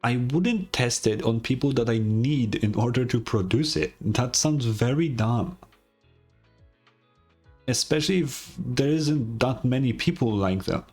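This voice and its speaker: A man's voice: mid-range voice, animated, measured pace, a little mellow, breathy edge, soft background music. A man giving casual playthrough commentary as a gaming streamer, blunt and sweary.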